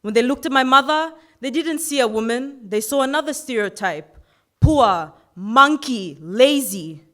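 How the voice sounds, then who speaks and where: A woman's voice: high voice, animated, a bit bright. Earnest young woman delivering a speech. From Fiji. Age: 20s. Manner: earnest, measured, reflective. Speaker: youth orator. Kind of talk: personal competition speech.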